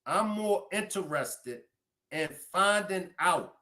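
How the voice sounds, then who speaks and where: A man's voice: high-pitched, animated, takes it slowly, pushed and bright. A man hosting a livestream about crime cases, repeating his questions to the chat for emphasis. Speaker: livestream host. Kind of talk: true crime livestream commentary.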